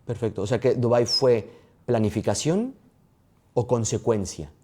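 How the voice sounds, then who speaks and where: A man hosting an interview podcast, firing off quick either-or questions to his guest. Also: medium pitch, a little mellow, a little hiss or hum. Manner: quick rapid-fire questions. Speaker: podcast host.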